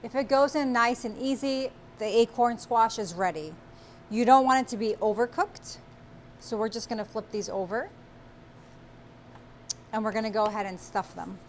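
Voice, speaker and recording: A woman's voice: a little high, moderate pace, long pauses, slight background noise. A woman in her forties hosting a cooking show, giving friendly step-by-step instructions as she cooks in a small studio kitchen on a lapel mic. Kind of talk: cooking demonstration.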